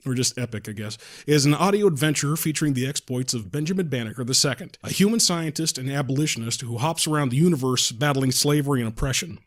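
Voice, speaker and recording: Male podcast host, mid-pitched, casual and self-deprecating, with a hesitant, joking delivery. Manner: jokey, self-deprecating, hesitant with false starts. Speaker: podcast host and audio drama creator. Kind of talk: casual behind-the-scenes podcast chat.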